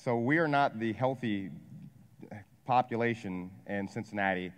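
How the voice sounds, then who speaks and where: A man in his forties from Ohio, giving an energetic motivational seminar talk and asking a live audience questions. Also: fairly low pitch, moderate pace, clean tone. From Ohio, USA. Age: 40s. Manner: energetic, asks the crowd questions, jokes. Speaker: wealth advisor and coach giving a seminar talk. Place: large hall with a live audience. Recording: long hall reverb.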